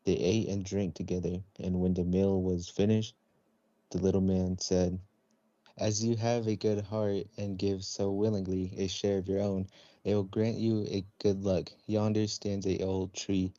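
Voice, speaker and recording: A man reading a children's story aloud in a somewhat halting way. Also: deep voice, flat delivery, warm tone, no room sound. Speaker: storybook reader. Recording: dull, lo-fi close recording.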